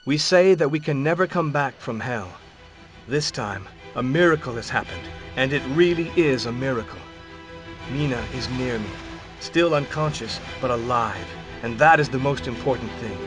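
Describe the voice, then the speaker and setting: A man's voice: medium pitch, lively intonation, normal speed, close to an everyday voice. An actor playing a determined young husband searching for his wife in a game cutscene, speaking in a defiant, earnest way. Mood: resolute. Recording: game audio with clear music score.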